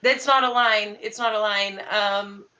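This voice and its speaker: A young woman improvising in character in an online tabletop game, halting and rambling, often repeating words. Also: medium pitch, fairly even intonation, sharp tone, dull audio. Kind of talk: improvised in-character roleplay over online call. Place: home room on a video call.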